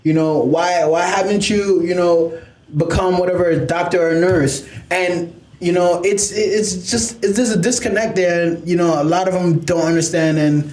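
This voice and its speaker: A man's voice: high-pitched, steady tone, moderate pace, a little mellow. A young man in casual podcast discussion, talking emphatically and filling gaps with "you know". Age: young adult. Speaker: podcast co-host. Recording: roomy with faint noise.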